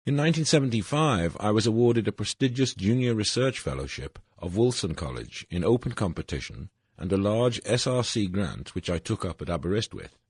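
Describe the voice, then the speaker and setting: An older man from Wales, reading his own autobiographical essay in a measured, formal way, his voice a little husky and his phrases falling at their ends. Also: low-pitched, darkish tone, no echo. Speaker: essay narrator.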